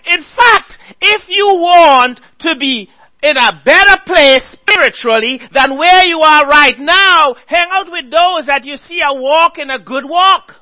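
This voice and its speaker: A man preaching a sermon, earnestly exhorting his listeners and reading scripture aloud slowly. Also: very high voice, pushed and bright.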